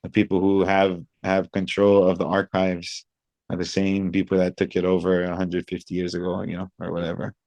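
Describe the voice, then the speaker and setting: A man in his thirties from Hawaii, answering interview questions in a thoughtful, measured way with many pauses. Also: sits low, monotone, a little mellow, no echo. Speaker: guest, reggae singer. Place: outdoors by a pool among high-rise buildings.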